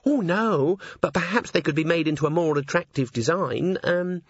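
A man's voice: on the high side, moderate pace, slightly rough, no room sound, voice pushed up. A man voicing a shy, nervous young female dwarf who stammers and hesitates. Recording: slightly dull lo-fi audio.

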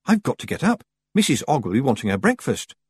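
A man voicing a practical young girl witch who talks briskly and firmly. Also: medium pitch, wildly swooping pitch, dry recording, voice pushed up. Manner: brisk, commanding.

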